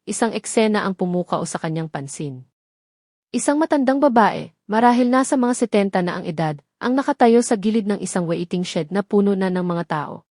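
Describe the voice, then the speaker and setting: A woman's voice: medium pitch, darkish tone, dry recording, clean studio sound. A woman reading a scripted dramatic story as its narrator.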